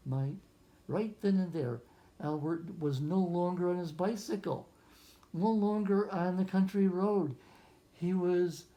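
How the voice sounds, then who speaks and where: A physicist reading a picture book aloud to young children, gently explaining things and adding musing asides and questions. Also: medium pitch.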